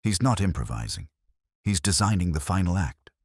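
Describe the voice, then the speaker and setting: A man playing a grave, shrewd police inspector in a mystery story, measured and declarative, with a husky voice. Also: deep voice, very animated, a little mellow, no echo, studio quality, pitched above the performer's own voice, exaggerated voice. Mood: brooding, deliberate.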